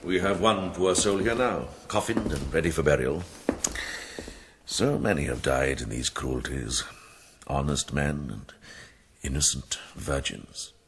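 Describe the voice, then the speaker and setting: An actor playing a shrewd older monk in a radio drama, with a Welsh accent and a deep, husky voice. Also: male voice, a little slow, background noise. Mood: kindly, devout. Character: wise, shrewd older monk, kindly but relentless sleuth.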